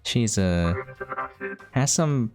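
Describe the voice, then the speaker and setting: A man's voice: lowish voice, expressive, extremely slow, a little mellow, soft background music. A man giving casual game commentary as a video host, in a laid-back, rambling way. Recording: clear background noise.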